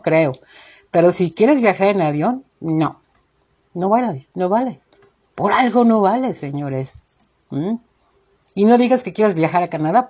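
A woman's voice: mid-range voice, warm tone, slightly rough, dull audio. A woman with a Mexican accent giving an indignant, emphatic opinion monologue as a show host, full of rhetorical questions. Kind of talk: informal opinion monologue to listeners.

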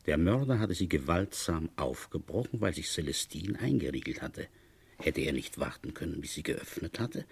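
A man playing a shrewd, wry village police inspector in a radio play, deliberate and brooding as he tells his case. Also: low voice, gravelly, darkish tone, breathy edge, exaggerated voice. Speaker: narrator and lead actor. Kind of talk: radio play, first-person detective narration.

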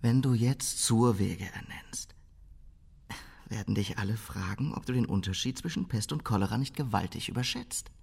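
A man playing a cynical, scheming party strategist in a German radio drama, with a sardonic, brisk delivery. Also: on the low side, creaky, a little mellow, breathy edge.

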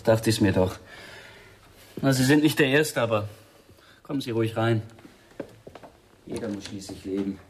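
A man's voice: fairly low pitch, moderate pace, long pauses, slight background noise, lightly acted. An actor playing a weary, slight young man in a crime radio play, resigned and guarded. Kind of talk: crime radio drama dialogue.

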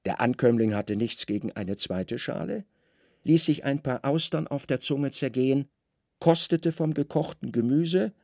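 Male narrator, measured and precise, creaky voice in a dry studio, for literary radio drama. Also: medium pitch, a little mellow, dry recording.

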